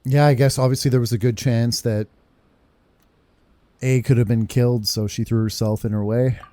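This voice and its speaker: A man's voice: medium pitch, steady tone, normal speed, mellow, no room sound. A man giving informal, conversational commentary as he plays through a video game, reacting to the story and reading lines along. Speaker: gaming video host.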